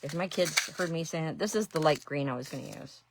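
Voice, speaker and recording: Low-voiced Ohio woman chatting while crafting. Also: fairly quick, noisy background. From Ohio, USA. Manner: chatty, rambling, self-deprecating asides. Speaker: resin artist and painting teacher. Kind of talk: casual live craft stream.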